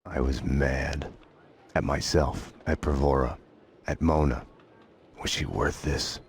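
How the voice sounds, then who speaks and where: A man voicing a world-weary hardboiled police detective in a video game, deadpan and sardonic, in noir style. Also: extremely low pitch, slow pace, slight background noise, heavily acted. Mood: brooding. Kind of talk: noir voice-over narration and terse dialogue. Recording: music under the voice.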